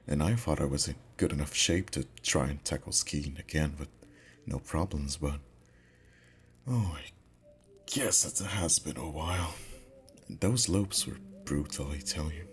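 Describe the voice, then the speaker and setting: Man playing a very deep-voiced, affectionate boyfriend. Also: a little hiss or hum. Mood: cozy, affectionate. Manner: soft, intimate, unhurried, teasing. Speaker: ASMR roleplay voice actor. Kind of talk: intimate ASMR boyfriend roleplay. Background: music.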